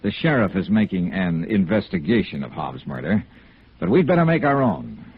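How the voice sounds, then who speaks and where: An American actor playing a tough, blunt insurance investigator in a radio noir drama, clipped and direct. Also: male voice, low voice, very animated, normal speed, husky, heavily acted. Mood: brisk, commanding. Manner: clipped, hard-boiled, direct. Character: tough, blunt male freelance insurance investigator, narrating his case. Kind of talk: 1950s radio noir acting, narration and dialogue. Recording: muffled old radio broadcast.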